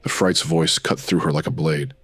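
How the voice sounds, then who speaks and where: An extremely deep brooding noir narrator. He delivers scripted pulp narration in terse, ominous phrases, with soft music behind the voice. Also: fairly even intonation, normal speed.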